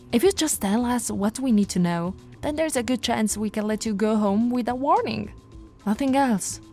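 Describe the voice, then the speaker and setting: A woman's voice: mid-range voice, dark tone, natural voice. A woman voicing a flirty but firm policewoman in an ASMR roleplay, with a teasing, coaxing, authoritative manner. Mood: exasperated. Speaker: voice actor in roleplay audio.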